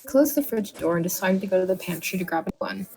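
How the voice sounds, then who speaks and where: Woman, medium pitch, dramatic narrator of short horror-comedy bedtime stories. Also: animated, fast talker, creaky, mellow, slight background noise, poor audio. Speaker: storyteller reading a written tale aloud.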